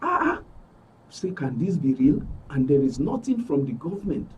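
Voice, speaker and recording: A man with a Nigerian accent and a husky voice giving an emphatic account as an interview guest, repeating phrases for stress. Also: a little high, very animated, moderate pace, recorded from a distance, a little hiss or hum, low-quality recording. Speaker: interview guest, club member. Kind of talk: spoken account in a broadcast interview.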